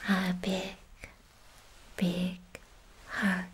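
A young woman whispering an ASMR roleplay as a sweet, protective, lovestruck demon slayer, softly and haltingly, close to the mic. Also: fairly low pitch, completely flat delivery. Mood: loving. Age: young adult. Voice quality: whispery, breathy soft voice. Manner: slow, halting, affectionate murmuring. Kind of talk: ASMR personal attention roleplay. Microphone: close microphone, whispering near it. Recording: faint hiss, mouth clicks, close-up.

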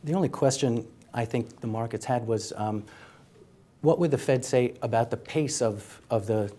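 A middle-aged man gives panel analysis as an economics guest. His voice is soft-edged and mellow, and he talks haltingly, doubling back on his words. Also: medium pitch. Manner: halting, repeats words as he goes. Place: TV news studio. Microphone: lapel mic.

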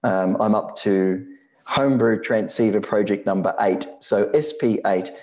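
Presenter, male, 60s, Australian. Low, relaxed voice, measured explanatory read, slightly lo-fi sound. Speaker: amateur radio presenter. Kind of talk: scripted slide presentation narration.

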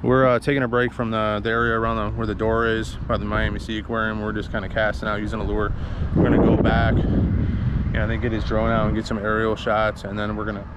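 A man's voice: fairly low pitch, completely flat delivery, fast, muffled recording. A middle-aged man chatting casually and colloquially to camera in a laid-back vlog style, outdoors on the water. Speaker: vlogger, kayak angler. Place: outdoors on a kayak on open water. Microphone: action camera at about arm's length. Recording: loud wind and water noise.

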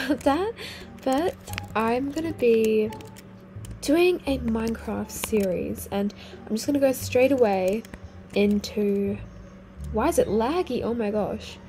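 A teenage girl with a slightly croaky, sick-sounding voice, chatting casually over her gameplay and exclaiming at things she finds. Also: a little high, measured pace, background noise. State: croaky voice from a cold. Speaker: gaming video host. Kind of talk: casual game commentary. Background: faint game music.

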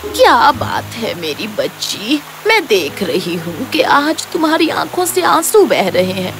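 A woman voicing a kind, wise old village woman, drawing out her motherly advice slowly in a storybook tale. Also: a little high, big pitch swings, over music. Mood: tender, reassuring. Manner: slow, drawn-out, motherly advice.

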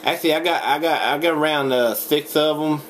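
A young man making a deadpan prank phone call, halting, stammering and rambling as he talks in a home room. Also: on the high side, a crowd in the background. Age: young adult. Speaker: prank caller. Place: small indoor home room. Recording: noisy webcam recording.

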